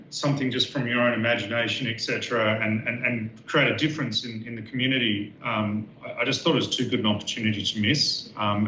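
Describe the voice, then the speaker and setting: A man, a guest architect talking casually with lots of fillers and repeats. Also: mid-range voice. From Western Australia. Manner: relaxed, many fillers and repeats. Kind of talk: casual interview talk. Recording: roomy, dull lo-fi sound with faint noise.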